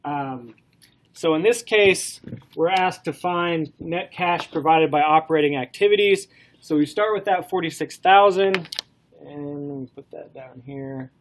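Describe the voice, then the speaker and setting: A man with a head cold lectures a class, his voice stuffy and nasal. He speaks a little higher than most men and keeps a loose, relaxed pace. He fills pauses with ums and keeps asking the students questions. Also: a little hiss or hum. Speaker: college instructor.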